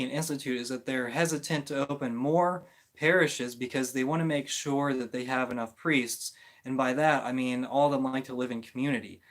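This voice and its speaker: Male livestream host, mid-range voice, informal and unscripted, feeling his way through each point with halting rephrasing. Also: medium pitch, fairly bright tone. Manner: hesitant, halting, often rephrasing mid-sentence. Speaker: livestream host answering viewer comments. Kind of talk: casual, rambling opinion talk on religion.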